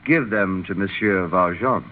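An actor playing a kindly, solemn old French bishop in 1930s radio style. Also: male voice, sits low, a little slow, a little hiss or hum. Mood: gentle, dignified. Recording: muffled, band-limited 1930s radio recording.

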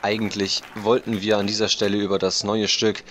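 An actor playing an eager local news reporter, delivering a report in an audio drama. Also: male voice, lowish voice, flat delivery, fairly quick, fairly bright tone, slight background noise, natural voice. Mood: businesslike.

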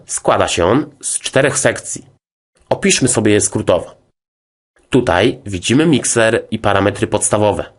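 A man narrating a scripted tutorial as a music software instructor, in a formal, methodical, explanatory way. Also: lowish voice.

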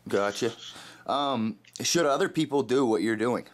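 A man's voice: mid-range voice, unhurried. A man conducting a casual interview in a laid-back, conversational way. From Canada. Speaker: interviewer, web broadcaster.

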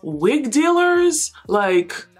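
A woman's voice: high voice, big pitch swings, extremely slow, soft background music. A woman in her thirties chatting playfully through a wig tutorial in her bedroom. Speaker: beauty vlogger. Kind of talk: casual wig tutorial and chat. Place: bedroom with shelves, indoors.

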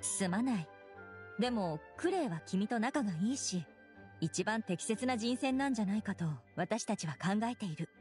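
An actress playing a composed young female knight commander in an anime game, formal and gently authoritative. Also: medium pitch, animated, moderate pace, faint music behind, close to an everyday voice. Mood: apologetic. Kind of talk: Japanese anime game acting.

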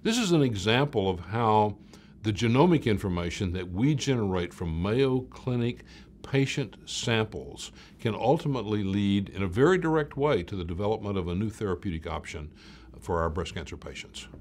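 An older man, around his late sixties, speaking as a professor explaining research in a measured way, stressing key words. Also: on the low side, animated, darkish tone, a little hiss or hum. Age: older, about late 60s. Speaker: medical researcher, professor of cancer biology. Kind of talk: explanatory talk to camera about research.

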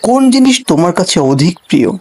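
A man's voice: high voice, very animated, quick pace, a little mellow, husky, exaggerated voice. A man playing a solemn, formal royal guard in disguise in a cartoon fable. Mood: solemn questioning.

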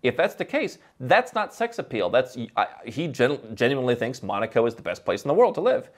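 A man in his thirties talks straight to the camera in a dim, book-lined studio set. He is animated and opinionated, and he uses his hands and fires off rhetorical questions. The voice is close and clean on a studio mic. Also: medium pitch, fairly quick. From Ohio, USA. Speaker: host. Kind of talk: opinionated talking-head commentary. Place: dim indoor library set.

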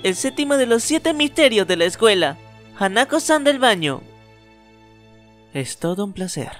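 A man's voice: very animated, normal speed. A man voicing a teasing boy ghost. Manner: teasing, swings from low murmur to loud. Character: playful boy ghost of school toilet. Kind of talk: fan dub acting. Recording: faint anime music behind.

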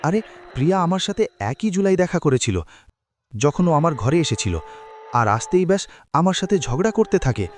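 A man's voice: a little high, lively intonation, brisk, slight background noise, lightly acted. A man playing a bewildered, pleading young man in a dramatic cartoon story. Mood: shocked. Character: bewildered, anxious young man haunted by lost love.

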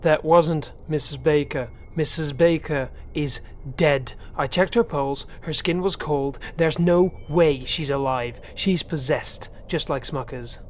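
A man's voice: on the high side, slow, hardly any pauses, a little hiss or hum. An actor playing a frightened, alarmed and insistent man in a horror audio drama. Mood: alarmed, accusing. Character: frightened, distraught man insisting on a supernatural threat.

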